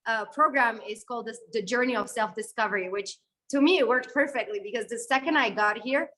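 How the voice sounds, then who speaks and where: Bright-voiced chatty woman from Syria. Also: a little high, brisk. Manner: animated, many fillers and restarts. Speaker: guest videographer. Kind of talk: conversational interview answer.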